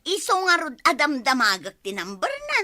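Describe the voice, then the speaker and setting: A woman's voice: sits high, huge pitch swings, moderate pace, bright, forceful tone, a little hiss or hum, full character voice. A woman playing a boastful, proud village party hostess in an Ilocano radio comedy, with an Ilocano accent. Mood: smug.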